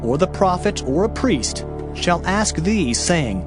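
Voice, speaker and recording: A man's voice: high voice, sing-song, slow. A man narrating a dramatized King James scripture reading in a solemn, emphatic, declamatory manner. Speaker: audio Bible narrator. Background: background music.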